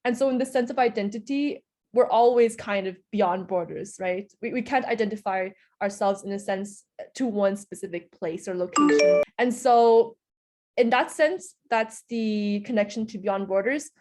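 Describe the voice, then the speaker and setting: A woman's voice: mid-range voice, moderate pace, a little mellow, slight background noise. A young woman from Hungary answering interview questions in an earnest, explanatory way, often hedging with small qualifiers. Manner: earnest, explanatory, frequent "in a sense" hedges. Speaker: interview guest.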